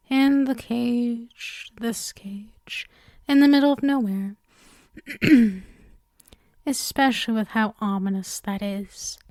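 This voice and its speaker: Woman playing a slow, soft-spoken lonely demon girl. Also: on the high side, no room sound, clean studio sound. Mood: lonely, wistful. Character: lonely, coaxing female demon sealed in a cage. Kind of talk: intimate ASMR roleplay monologue.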